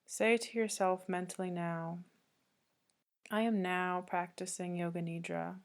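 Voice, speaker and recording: A woman with a low voice leads a guided yoga meditation. Her delivery is very flat and steady, with little melodic movement. She gives gentle, calming instructions and leaves long pauses between phrases. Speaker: yoga nidra teacher.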